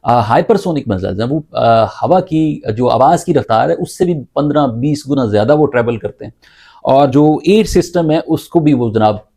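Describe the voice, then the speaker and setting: A man giving unscripted news analysis, explaining things in a halting way and often repeating his words. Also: mid-range voice, expressive, creaky, dark tone. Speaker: news commentator.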